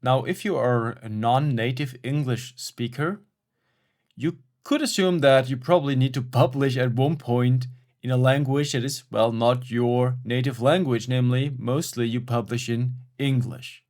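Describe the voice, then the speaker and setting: A man's voice: mid-range voice, a little slow, darkish tone. Reflective German-accented man. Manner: reflective, frank, with fillers and restatements. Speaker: academic writing coach. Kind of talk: casual explanatory talk.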